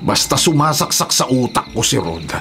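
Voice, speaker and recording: A man's voice: medium pitch, very animated, normal speed, vocal fry, over music. A man playing a vengeful, murderous thug, seething and menacing, in a Filipino radio drama.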